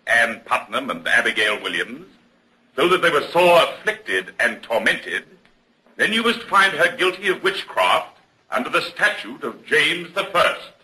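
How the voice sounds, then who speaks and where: A stern colonial judge with a piercing, very forceful middle-pitched voice. He declaims solemnly and formally, in measured vintage radio style. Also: very animated, heavily acted, rough recording. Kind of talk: 1940s radio acting.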